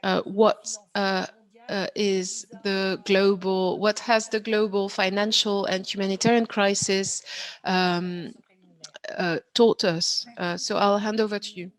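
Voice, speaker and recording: A woman's voice: mid-range voice, level tone, slow pace. A woman moderating an online panel, introducing speakers in a hesitant way with many fillers. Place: online video call.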